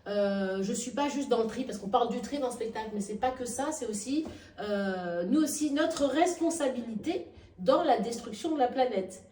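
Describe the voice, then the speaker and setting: A woman being interviewed, with frequent restarts and hesitations that give way to emphatic, lively stretches. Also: a little high, moderate pace. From Nigeria and Madagascar. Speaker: actress and workshop founder, interviewee. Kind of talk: spontaneous interview talk. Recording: echoey room, heard from a distance.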